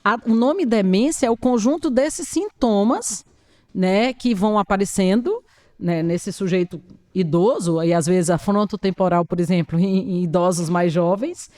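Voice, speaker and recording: A woman speaks as an occupational therapist on an interview. She explains earnestly and with emphasis, in a middle-pitched voice. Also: normal speed, dark tone.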